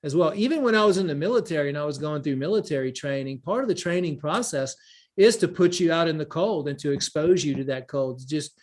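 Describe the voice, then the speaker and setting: Higher-voiced man giving rambling wellness advice. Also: lively intonation, quick pace, darkish tone. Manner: rambling, repeats phrases, lists examples. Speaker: wellness teacher and event leader. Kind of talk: informal spoken teaching, answering questions. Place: live online group call.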